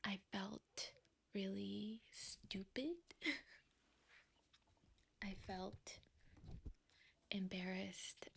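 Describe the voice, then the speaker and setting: A young woman whispering softly in a slow, rambling way, chatting ASMR-style at home. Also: medium pitch, creaky, a little hiss or hum. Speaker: vlogger. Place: quiet home, indoors.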